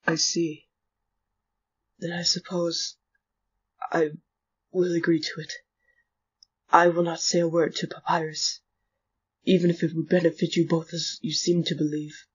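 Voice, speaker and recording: A woman voicing an earnest, polite male bartender with formal, measured speech in a fan comic dub. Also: lowish voice, little pitch movement, dry recording. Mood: resigned. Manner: formal, measured, careful diction. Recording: muffled husky recording with faint music.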